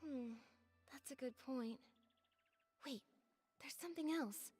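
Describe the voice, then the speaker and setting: Young game heroine: high, gentle, earnest, thoughtful investigator. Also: female voice, slow, slightly rough, faint music behind, exaggerated voice. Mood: pensive, earnest. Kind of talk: video game voice acting.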